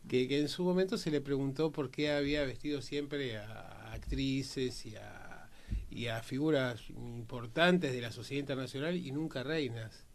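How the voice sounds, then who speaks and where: An Argentine man giving conversational historical commentary as a panelist, often pausing mid-phrase. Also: medium pitch, gravelly.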